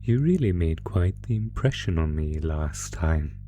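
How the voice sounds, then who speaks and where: A man's voice: deep voice, lively intonation, measured pace, warm tone, a little hiss or hum. A man voicing a sadistic, predatory monster from under the bed in a horror audio roleplay, taunting the listener with mock-sweet, savoring menace. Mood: sinister, anticipating.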